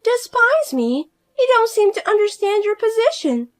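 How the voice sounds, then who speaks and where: A woman voicing a spoiled, arrogant sister-in-law who talks down to everyone in a shrill, scolding way. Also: big pitch swings, moderate pace. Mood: indignant. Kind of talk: audio story voice acting.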